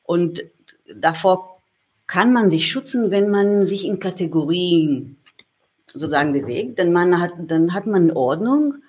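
German woman in an interview, lowish husky voice, circles round her thoughts, lots of restarts. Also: lively intonation, unhurried, darkish tone. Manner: thoughtful, searching, many restarts and fillers. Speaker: interview guest. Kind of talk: reflective conversational interview.

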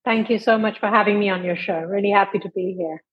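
A middle-aged woman author, born in London and raised in India, telling her life story in a measured, reflective way with soft hesitations over a remote call. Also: medium pitch, fairly even intonation, breathy edge, muffled recording. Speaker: guest author. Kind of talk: interview storytelling.

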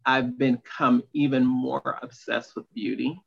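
Gravelly, husky man talking candidly. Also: mid-range voice, steady tone, a little slow, a bit bright, lo-fi sound. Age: late 30s. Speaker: podcast guest, empowerment coach. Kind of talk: candid personal conversation.

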